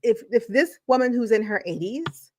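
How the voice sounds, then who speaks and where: A woman's voice: a little high, lively intonation, normal speed, darkish tone, no room sound, studio quality. A woman educator in her forties or fifties giving emphatic, motivational video commentary, repeating phrases for effect. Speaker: educator and channel host.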